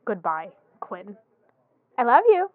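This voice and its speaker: A woman's voice: a little high, big pitch swings, slow, background noise. A woman playing a bewitched, loving wife on a phone call in an audio drama, sweet and unnervingly reassuring. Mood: eerily sweet. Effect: phone call filter. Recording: thin, tinny phone line.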